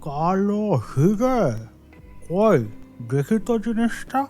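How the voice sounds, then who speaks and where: Man playing a high-voiced, brusque cartoon shopkeeper. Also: lively intonation, slow, darkish tone. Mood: eager, curious. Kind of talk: cartoon story acting. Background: background music.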